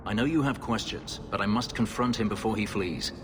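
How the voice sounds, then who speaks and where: A man's voice: fairly low pitch, fairly quick, background noise, close to an everyday voice. An actor playing a brooding male elf warrior and escaped slave, speaking in a grave, formal, terse way. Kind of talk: video game dialogue. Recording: game music underneath. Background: game score.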